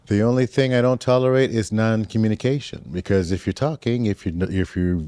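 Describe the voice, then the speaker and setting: A man in his sixties, a contestant on a dating show, speaking earnestly and loosely, often circling back on his words. His voice sits on the low side. The sound is dry. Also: fairly quick, dark tone, smooth, no echo. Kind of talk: casual chat.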